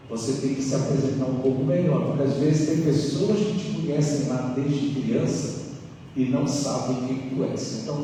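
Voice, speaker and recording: A man's voice: mid-range voice, mellow. A man in his sixties from Angola with a Brazilian accent, a pastor teaching at a seminar in a conversational way, telling personal anecdotes and addressing listeners directly. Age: 60s. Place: church hall, before an audience. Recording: echoey hall, distant mic, faint noise.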